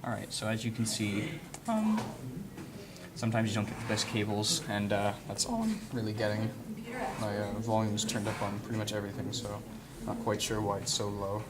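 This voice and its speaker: A man with a fairly low voice talks through a hands-on equipment demonstration. He is informal and halting, often thinking aloud as he works. The recording is very noisy. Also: expressive. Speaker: instructor demonstrating audio equipment. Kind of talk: casual hands-on tutorial. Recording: clearly noisy room recording with handling sounds.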